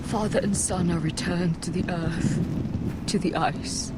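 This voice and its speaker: An actress playing a dignified middle-aged noble widow and mother in a fantasy game, mourning in a measured, formal way. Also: fairly low pitch, steady tone, natural voice. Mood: solemn mourning. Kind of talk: dramatic video game voice acting. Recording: clear windy ambient noise.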